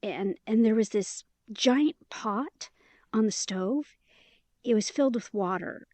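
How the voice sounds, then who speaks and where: A woman's voice: mid-range voice, slow, warm tone, dry recording, close to an everyday voice. An actress playing a chatty, eager, sweetly stubborn young woman in a romantic fantasy audio drama, speaking in a conversational, emphatic way. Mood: dreamy, hesitant.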